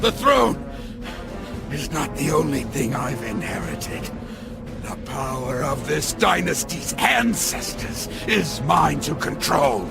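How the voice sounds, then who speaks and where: An actor playing an arrogant, enraged usurper emperor in a video game, theatrical and scornful, with a gravelly voice. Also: male voice, a little high, big pitch swings, takes it slowly, heavily acted. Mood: menacing. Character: villainous usurper emperor, arrogant, defiant, full of rage. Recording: dramatic music behind the voice.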